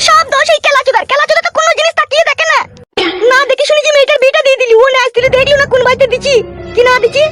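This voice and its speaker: Piercingly high, scolding village wife. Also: female voice, steady tone, rapid-fire, over loud music. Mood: accusing. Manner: scolding, cursing, argumentative. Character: shrill, foul-mouthed, quarrelsome village wife and mother-in-law. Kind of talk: comedy cartoon dialogue.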